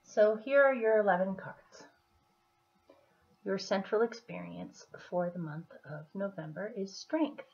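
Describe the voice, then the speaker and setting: A woman with a slightly hoarse, scratchy throat, giving a casual tarot reading in an unhurried, deliberate manner with pauses as she explains the cards. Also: mid-range voice, a little mellow. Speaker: tarot reader. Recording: dull, muffled lo-fi audio.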